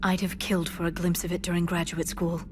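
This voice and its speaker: A woman acting a scholarly alien information broker in a video game. Her voice is fairly low, measured and articulate, composed but earnest. There is clear ambient noise behind her. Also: little pitch movement, close to an everyday voice. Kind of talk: video game character dialogue.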